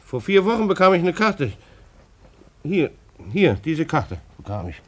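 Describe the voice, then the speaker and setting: A man's voice: a little high, big pitch swings, measured pace. A man acting in a German radio drama as an agitated, self-justifying bailiff, stammering and repeating himself as he pleads. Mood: insistent, agitated.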